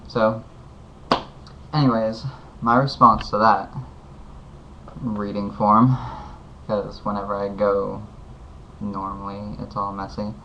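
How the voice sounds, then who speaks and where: Guy, lowish and flat, rambling earnest advice vlog; muffled home-mic sound. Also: slow pace. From United States. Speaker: vlogger giving advice. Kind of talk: casual heartfelt advice monologue. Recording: dull lo-fi home video with faint hiss.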